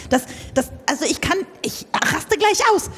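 A woman's voice: sits high, lively intonation, normal speed, fairly bright tone. A woman improvising a comedy radio play as an exasperated grump who cannot stand cheerfulness. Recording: echoey hall, live workshop performance.

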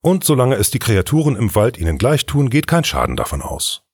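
A man reading the part of a gruff, sceptical leader of a royal bodyguard in a storybook, dismissive and matter-of-fact. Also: medium pitch, huge pitch swings, fairly quick, no room sound, studio quality, pitched above the performer's own voice. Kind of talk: storybook character dialogue.

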